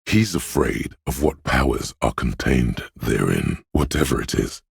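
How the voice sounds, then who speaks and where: Game inner voice: dreamlike and foreboding, rock-bottom bass with heavy gravel, hushed and intimate, cryptic dramatic narration. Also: male voice, animated, no echo, studio quality, exaggerated voice. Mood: ominous, mystical. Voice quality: very deep, gravelly, husky. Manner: slow, hushed, ominous, cryptic second-person pronouncements with dramatic pauses. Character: eerie inner voice of intuition, dreamlike, brooding, intimate.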